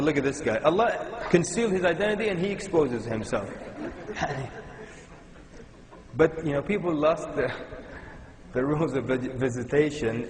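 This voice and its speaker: Middle-aged Sudan-born preacher, animated and funny storyteller, echoey lecture hall. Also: male voice, mid-range voice. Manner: lively storyteller, mixes in Arabic words. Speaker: Islamic lecturer. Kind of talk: humorous religious lecture. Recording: echoey hall with long reverb.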